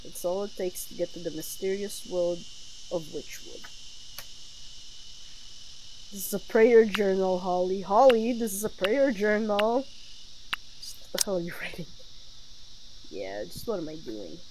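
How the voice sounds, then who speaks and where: A woman's voice: medium pitch, expressive, normal speed, darkish tone, slight background noise, poor audio. A woman game streamer doing casual let's-play commentary and reading lines aloud, with sarcastic asides and some swearing. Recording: game music behind, streamed audio.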